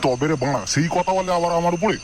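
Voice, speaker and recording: An angry Bengali cartoon husband acted by a man, rattling off sarcastic, menacing scoldings at a quick pace. Loud insect noise runs behind him. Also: a little high, natural voice. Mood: furious. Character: angry foul-mouthed Bengali husband, jealous and threatening. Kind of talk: comedy cartoon dialogue.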